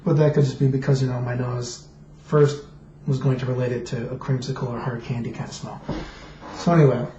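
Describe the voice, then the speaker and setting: Young man, deep voice, rambling casual vlogger; dull mic in an echoey room. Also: level tone, a little fast, mellow, slight background noise. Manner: rambling, many fillers and restarts. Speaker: vlogger reviewing fragrances. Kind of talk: casual vlog review. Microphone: quiet desk microphone.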